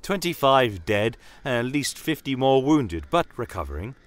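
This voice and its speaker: A man's voice: mid-range voice, very animated, moderate pace, dry recording, pitched above the performer's own voice, lightly acted. A man voicing a weary female battlefield healer from a fantasy tale, dry, sardonic and matter-of-fact.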